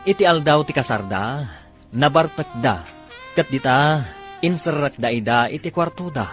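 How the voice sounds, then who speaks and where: A man's voice: on the high side, expressive, normal speed, fairly bright tone. A man with a Filipino Ilocano accent delivering dramatic scripted narration as a radio drama announcer. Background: music.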